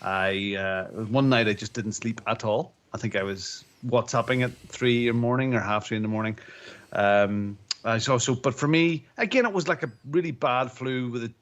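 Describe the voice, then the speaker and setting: Chesty podcast guy with a lingering cough, rambly, keeps restarting, middle-pitched voice. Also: moderate pace, fairly bright tone. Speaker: podcast co-host. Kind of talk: casual chat.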